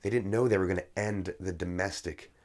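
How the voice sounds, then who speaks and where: A man with a very low, deep voice, worn hoarse by a cold, delivers an opinionated monologue straight to his viewers. He sounds indignant and certain, firing off sharp judgments, then stumbling and restarting mid-phrase before pressing on. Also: fairly even intonation, a little fast. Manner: indignant, opinionated ranting with frequent self-restarts. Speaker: video creator. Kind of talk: casual monologue on economic history.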